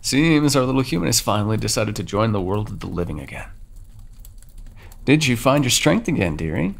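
A man's voice: very animated, a little fast, exaggerated voice. A man voicing a centuries-old male vampire in an ASMR audio roleplay, taunting and mocking the listener he calls dearie. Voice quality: low gravelly voice, husky.

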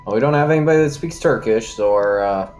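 A man's voice: mid-range voice, quick pace, darkish tone. A man playing a tabletop game, chatting casually with joking, crude banter. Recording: music behind the voice. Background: background music.

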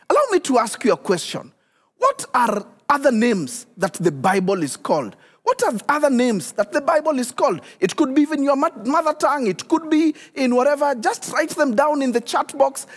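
Pastor, middle-aged man, animated direct-address sermon, reverberant hall sound. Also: very high-pitched. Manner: animated, addresses viewers directly, repeats key questions. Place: church stage studio, indoors.

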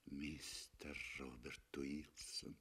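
An actor voicing a mocking, seductive wife in a radio play, breathy, drawn-out and nearly whispered. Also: male voice, extremely low pitch, level tone, a bit bright, pitched below the performer's own voice, exaggerated voice. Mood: mocking seduction.

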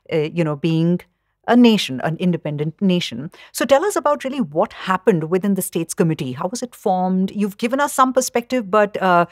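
A woman co-hosting a podcast, interviewing in a deliberate, conversational way. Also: on the low side, a little mellow, dry recording, clean studio sound. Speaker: podcast co-host.

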